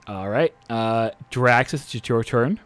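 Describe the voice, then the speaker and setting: A man's voice: mid-range voice, slow, smooth. Man running a tabletop game. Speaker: game master. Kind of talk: improvised narration of a tabletop game.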